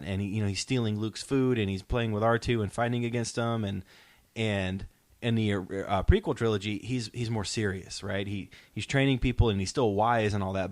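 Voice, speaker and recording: Low-voiced man, dry close sound. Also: no echo. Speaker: podcast host. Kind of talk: casual podcast discussion.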